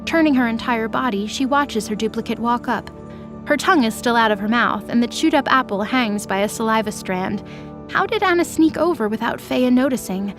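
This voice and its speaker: A woman narrates a story in a scripted, dramatic audio-drama style. Her voice sits a little high, and faint music plays behind it. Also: a little fast.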